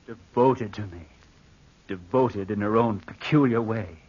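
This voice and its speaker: An actor playing a spendthrift young nephew in a vintage radio drama, melodramatic and self-pitying, with a scheming streak. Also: male voice, fairly low pitch, unhurried, a little mellow, breathy edge, muffled recording. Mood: brooding, sinister. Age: young adult. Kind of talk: 1940s radio acting with first-person narration.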